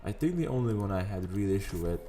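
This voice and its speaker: A man with a raspy morning voice, chatting casually over gameplay and rambling into sidetracks. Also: fairly low pitch, animated, fast, background noise. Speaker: gaming video host. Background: faint background music.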